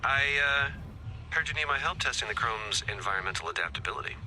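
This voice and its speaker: A man's voice: lowish voice, lively intonation, fast, bright, forceful tone, low-quality recording. An actor voicing a wisecracking male video game hero, with a wry, bantering manner. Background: game music.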